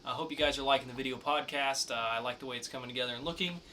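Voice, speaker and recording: A man with a mid-pitched, bright voice co-hosts a casual news chat podcast. He talks conversationally, and a light layer of background noise sits under his voice. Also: steady tone, racing. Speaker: podcast co-host.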